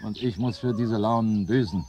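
A man acting a low-voiced sardonic grumbler. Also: normal speed, mellow, natural voice. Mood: sarcastic, grumbling. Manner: sardonic, complaining. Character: grumbling, sarcastic, whisky-drinking man fed up with neighbours' noise. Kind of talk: 1970s German radio play acting. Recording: vintage radio recording, faint noise.

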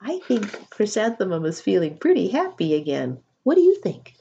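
A middle-aged woman reading a children's picture book aloud expressively, with deliberate emphasis. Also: medium pitch, wildly swooping pitch, vocal fry, dark tone, dull audio, slight background noise. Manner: deliberate, drawn-out emphasis, pausing to ask listeners questions. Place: small home room, indoors.

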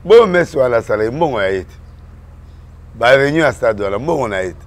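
Homme originaire du Congo qui parle en mélangeant le français et le lingala, sur un ton emphatique, en répétant ses mots dans une discussion politique. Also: male voice, medium pitch, expressive, moderate pace. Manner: mixes French and Lingala, emphatic, repeats words. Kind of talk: animated political chat.

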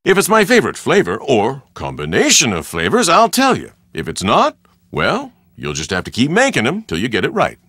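An actor playing a boisterous Hawaiian tour operator in a video game, with hearty, pushy sales patter in short punchy phrases. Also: male voice, medium pitch, huge pitch swings, brisk, fairly bright tone, husky, no room sound, clean studio sound, exaggerated voice. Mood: teasing, bossy. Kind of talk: video game character dialogue.

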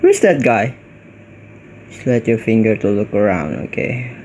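Man, Indonesian accent, casual gaming commentary, unhurried pace, lively swooping pitch, reactive slangy delivery. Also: a little hiss or hum. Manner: reactive, mixes slangy Indonesian with English exclamations. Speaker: gaming YouTuber.

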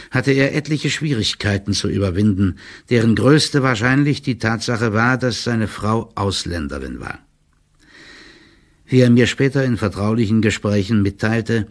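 A man playing an older, loyal court clerk who delivers a confidential report in a formal, measured and officious manner, as in an audiobook drama. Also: on the low side. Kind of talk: dramatised first-person reading of a formal written report.

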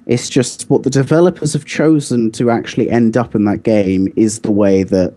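Low, mellow-voiced man debating. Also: fairly even intonation, normal speed. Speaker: podcast co-host. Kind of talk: casual podcast debate.

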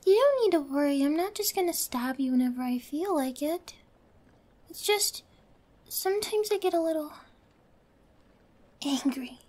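A woman playing an obsessed, possessive yandere girlfriend in an ASMR roleplay, often dropping into a breathy whisper. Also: high-pitched, expressive, normal speed, long pauses, darkish tone. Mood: unsettlingly sweet.

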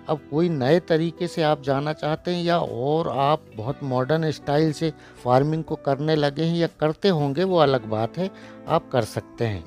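A man hosting a poultry farming channel, narrating a voice-over with blunt, lecturing and sarcastic remarks about careless buyers. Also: a little high. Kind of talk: voice-over narration for a farm video. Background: soft background music.

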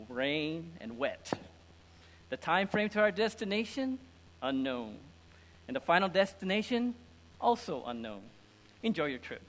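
A middle-aged man with a fairly high voice preaches in a reverberant hall. His delivery is informal and animated, a conversational sermon that slips into acted-out dialogue and humour. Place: indoor church stage with lectern and screen.

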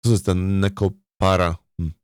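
A man's voice: low-pitched, little pitch movement, moderate pace, smooth, no room sound, clean studio sound. A man chatting casually as a game streamer, thinking aloud in a hesitant, rambling way and often repeating his words. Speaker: streamer, let's play host.

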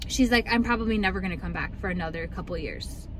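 A woman's voice: lowish voice, fast talker, background noise. A young woman vlogger chatting and ranting casually in a car, full of likes and ums. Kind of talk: casual chat.

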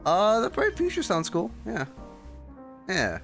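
A man's voice: high voice, wildly swooping pitch, unhurried, creaky, a bit bright. A male game streamer chatting casually as he plays, with very varied intonation. He gives short, muttered reactions over faint game music. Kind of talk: casual gameplay reaction chat.

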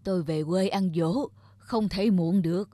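A man voicing a kindly, wise old man in a cartoon, giving gentle and unhurried advice. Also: high-pitched, very noisy. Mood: gentle, advising. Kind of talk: cartoon character acting.

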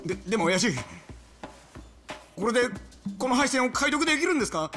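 A man's voice: extremely high pitch, sing-song, fairly quick, pushed and bright, faint music behind, exaggerated voice. A male actor playing a timid, anxious yakuza underling in a game cutscene, pleading and deferential. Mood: nervous. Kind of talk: Japanese video game cutscene acting.

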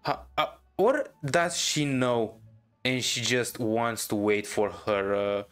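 A man reacts to a game in a casual, unscripted way. He gropes for words as he talks, with soft music playing behind him. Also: medium pitch, expressive, slow. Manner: hesitant, searching for words. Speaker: gamer reacting to a story quest. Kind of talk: casual gameplay commentary. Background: game music and dialogue.

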